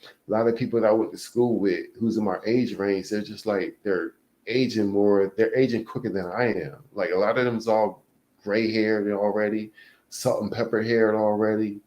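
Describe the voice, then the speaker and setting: Forties guy with a really low voice, just rambling and cussing about his life, lots of "you know what i'm saying". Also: fairly even intonation, normal speed, darkish tone, rough recording. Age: 40s. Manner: rambling, frank, profane, many fillers. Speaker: vlogger. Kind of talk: casual monologue.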